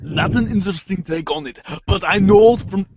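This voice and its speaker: A man reads as a brainy teenage girl, sardonic and skeptical. The voice is somewhat high for a man and delivers long, dry, wordy lines. The recording is close but muffled and lo-fi. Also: moderate pace, slight background noise, lightly acted. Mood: wry, teasing. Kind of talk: readers theater of web comic chat logs. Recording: dull, lo-fi microphone sound.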